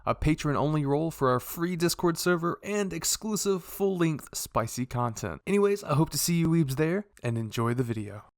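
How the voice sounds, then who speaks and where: Guy host doing a channel promo, slightly high voice, dry mic. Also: animated, moderate pace, few pauses, dry recording. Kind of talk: scripted promotional intro.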